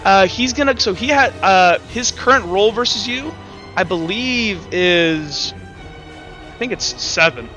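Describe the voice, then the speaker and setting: A man acting as game master, narrating and explaining rules in a halting, self-correcting way. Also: high-pitched, lively intonation, sharp tone, soft background music. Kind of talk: improvised tabletop game narration and rules talk.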